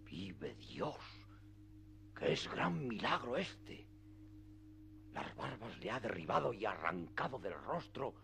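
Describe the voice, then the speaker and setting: An actor playing a grave, courteous, deluded old knight in a solemn, ceremonious radio theatre style. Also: male voice, deep voice, sing-song, slow pace, gravelly, pauses often, a bit bright, slight background noise, heavily acted. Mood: astonished. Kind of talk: radio theatre acting of classic literature.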